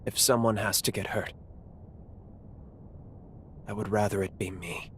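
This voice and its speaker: An actor voicing a guilt-ridden, reckless young male fighter, speaking earnestly and quietly. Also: lowish voice, fairly even intonation, a little slow, noisy background, close to an everyday voice. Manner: earnest, subdued, confessional. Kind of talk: video game voice acting. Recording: game cutscene with music underneath.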